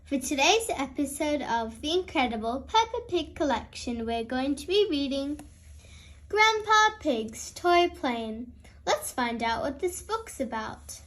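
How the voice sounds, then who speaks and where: A woman reading a children's storybook aloud as host, in an expressive storytelling style. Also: sits high, a little slow.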